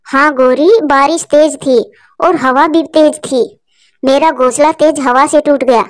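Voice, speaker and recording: Cartoon eagle auntie, female performer: stern, scolding authority, high pitch. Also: fairly even intonation, measured pace, no echo, studio quality. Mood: plaintive. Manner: commanding, scolding. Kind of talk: Hindi children's cartoon dubbing.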